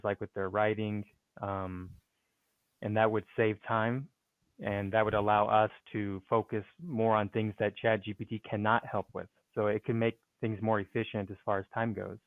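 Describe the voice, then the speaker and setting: Male coach guest, low voice, halting with fillers, tinny phone-like sound. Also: gravelly, no room sound. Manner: hesitant, many fillers and restarts. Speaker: speaking and writing coach, podcast guest. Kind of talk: conversational interview answer.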